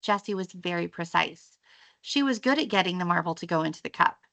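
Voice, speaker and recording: A woman reading a children's story aloud in an expressive, storytelling style. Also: fairly low pitch, a little fast, creaky. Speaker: teacher reading a book aloud.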